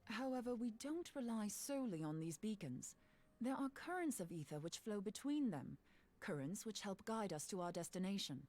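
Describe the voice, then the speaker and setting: A woman's voice: medium pitch, expressive, moderate pace, a little mellow, natural voice. A woman playing a bold, self-assured scholar in a fantasy video game, explaining things breezily. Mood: keen, explanatory.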